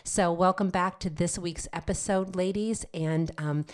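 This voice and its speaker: A woman's voice: lowish voice, fairly even intonation, measured pace. An older woman hosting a health podcast, slightly nasal from a head cold, chatty and encouraging, often checking in with "okay?". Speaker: podcast host, nurse practitioner. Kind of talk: solo health podcast talk.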